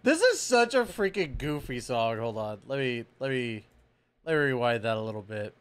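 A man's voice: mid-range voice, sing-song, normal speed, clean tone. An American man in his thirties hosting a reaction video with casual, slangy, exclamatory commentary into a close microphone. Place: small indoor room full of collectibles. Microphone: close microphone on boom arm.

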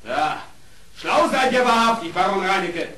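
A haughty, sneering wolf in a radio play. His voice is high and very animated, full of gullible boasting. It sounds roomy, with a little noise. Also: normal speed, a crowd in the background.

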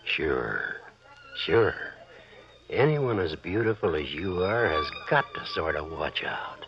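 A man's voice: sits low, sing-song, slow pace, exaggerated voice. An actor playing a bored, politely agreeable man on a date, giving short hesitant replies in 1940s radio style. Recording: muffled vintage radio recording.

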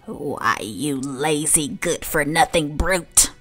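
A woman's voice: rumbling low, steady tone, a little slow, fairly bright tone, soft background music, full character voice. A woman playing a mean, vain aunt from a storybook, with a scornful, snapping manner. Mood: harsh, threatening. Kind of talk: storybook character voice.

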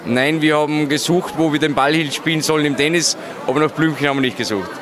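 Austrian male interviewee, forties, quick delivery, casual, crowd hubbub in the background. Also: a little high. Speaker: interviewee, friend of the author. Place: large busy indoor foyer. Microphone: handheld interview microphone. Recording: busy crowd chatter behind.

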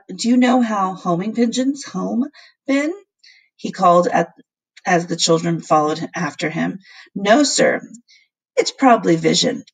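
A woman teacher reading a children's novel aloud to her class, voicing the characters with lively shifts. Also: medium pitch, gravelly, breathy edge, low-quality recording. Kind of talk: children's book read-aloud.

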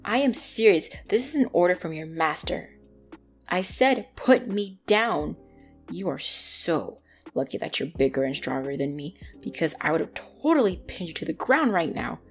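A woman's voice: lowish voice, very animated, moderate pace, soft background music. A woman playing a bratty, easily flustered young tsundere catgirl in an ASMR roleplay, speaking indignantly and defensively to the listener. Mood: indignant, bossy.

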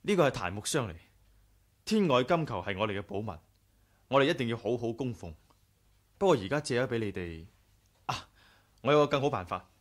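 An actor with a Hong Kong Cantonese accent playing a loyal, cautious aide, earnest and courteous, in a 1980s radio drama. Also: male voice, mid-range voice, animated, brisk, pauses often, natural voice. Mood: cautious, urgent. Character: loyal, cautious male aide, devoted to his leader.

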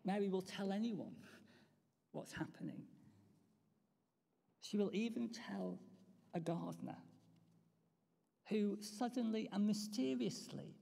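A slow, unhurried preacher delivers an Easter sermon, pausing to ask the congregation rhetorical questions. The voice is dark and mellow, with a gravelly edge. A long church reverb trails after each phrase. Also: mid-range voice, very animated.

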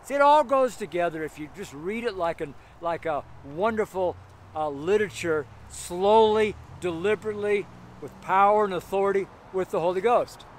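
A middle-aged man preaching an outdoor street sermon, reading scripture slowly and repeating his points with frequent "right?" checks, recorded beside a busy road by a camera facing him. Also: sits high. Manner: emphatic, repetitive, keeps asking "right?"; reads scripture slowly and deliberately. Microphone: camera facing him at medium distance. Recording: outdoor traffic noise.

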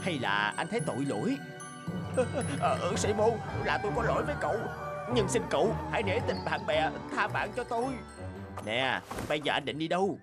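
A man voicing the male characters in a dubbed cartoon folk tale, with animated, emotional delivery. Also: a little high, moderate pace. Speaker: voice actor doing all male parts. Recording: music bed under voice.

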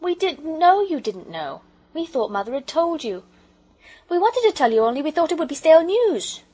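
Woman as a pleading girl, pitched high. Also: sing-song, normal speed, mellow. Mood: apologetic, eager. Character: earnest, impulsive young English girl, pleading and apologetic.

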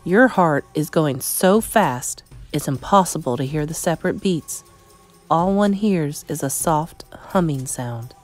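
A woman voicing a tender, frank grandmother in her eighties, measured and gentle, in storybook dialogue. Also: low voice, expressive, faint music behind. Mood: tender, wondering. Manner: measured, gentle, matter-of-fact. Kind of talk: acted children's storybook dialogue.

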